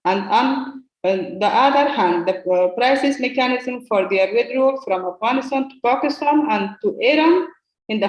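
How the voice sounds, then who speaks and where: Woman, non-native English, slightly high voice, halting and filler-heavy, roomy echo. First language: not English. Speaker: Afghan parliamentarian in exile. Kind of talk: roundtable remarks.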